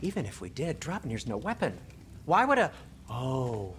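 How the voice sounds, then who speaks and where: A man playing a nervous, fussy dwarf craftsman in a video game, fretful and excitable. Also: mid-range voice, moderate pace, a little hiss or hum. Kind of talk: cinematic video game acting.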